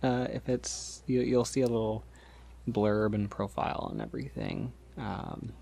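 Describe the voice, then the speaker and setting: A man playing a polite, considerate vampire in a soft-spoken ASMR roleplay, hesitant, gentle and reassuring in the way he talks. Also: lowish voice, big pitch swings, a little slow, gravelly, exaggerated voice. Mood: casual, apologetic. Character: polite, considerate, decades-old male vampire posing as college student.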